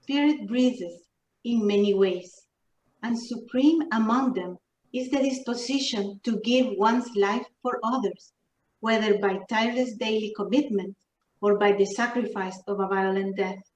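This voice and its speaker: A woman reading spiritual quotations aloud at a memorial, slow and solemn. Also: medium pitch, darkish tone. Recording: roomy, distant mic with faint noise.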